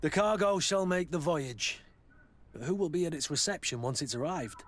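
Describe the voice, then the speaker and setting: An actor playing a blunt, grumbling mercenary soldier in a video game, with a rough, husky voice and curt delivery. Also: male voice, a little high, lively intonation, normal speed. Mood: dutiful. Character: gruff, grumbling mercenary soldier, adult man. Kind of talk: video game cutscene acting.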